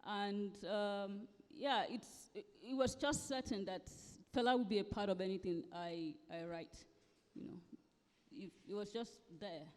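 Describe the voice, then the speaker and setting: A woman's voice: mid-range voice, unhurried, darkish tone. A woman with a Nigerian accent, around forty, speaking emphatically as a guest author in a live conversational interview, often repeating phrases for stress. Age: late thirties to forties. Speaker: guest author at a book festival. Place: live festival event hall. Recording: echoey hall with long reverb.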